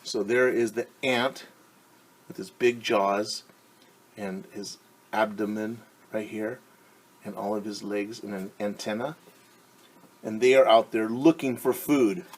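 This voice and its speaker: A man teaching young children, reading a picture book aloud and gently asking them questions. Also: fairly low pitch, fairly even intonation, slow pace, pauses often, low-quality recording. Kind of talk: children's story time read-aloud.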